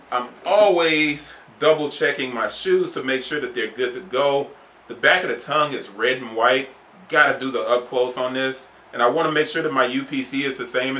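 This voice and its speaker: A man's voice: mid-range voice, pushed and bright, reverberant. A man casually reviewing a sneaker on camera, rambling and enthusiastic, repeating himself as he goes. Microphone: camera then phone.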